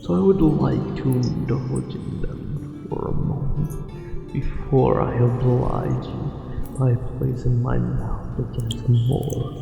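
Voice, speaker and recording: A courtly, seductive merman, acted by a man, speaks slowly in a gravelly, mellow voice, lovestruck and possessive in his formal teasing. His words ring through a heavy cavernous echo in this ASMR roleplay, with music underneath. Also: medium pitch, fairly even intonation, dull audio. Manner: formal, archaic, tenderly teasing phrasing.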